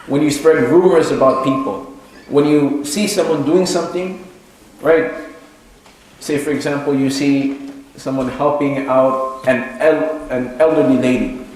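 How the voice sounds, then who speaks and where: Man preaching in a very echoey room. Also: medium pitch. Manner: hesitant, repeating phrases for emphasis. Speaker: imam giving a talk to his congregation. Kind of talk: sermon, teaching from religious history. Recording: very echoey room, faint background noise.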